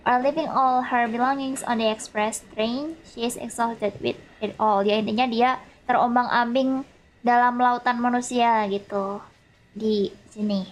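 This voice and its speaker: A woman with a notably high-pitched voice and an Indonesian accent is livestreaming a visual novel. She moves between casual chat and reading aloud, readily repeating herself when a word trips her up. A faint layer of noise sits behind her. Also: poor audio. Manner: stumbles over English words, repeats herself, giggles at own mistakes.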